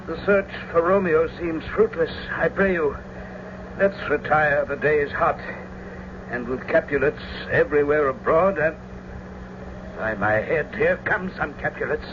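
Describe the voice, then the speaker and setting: Guy doing a careful young nobleman, pleading, a bit high, noisy old radio play. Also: measured pace, narrow, tinny audio, lightly acted. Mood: cautious, worried. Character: cautious, sensible young nobleman and friend. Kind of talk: old-time radio Shakespeare acting.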